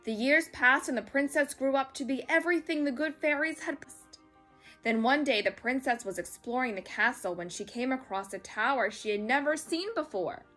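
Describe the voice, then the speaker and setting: Woman storyteller, high-pitched, bright clear-cut tone, expressive children's reading, dramatic, faint music behind. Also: moderate pace.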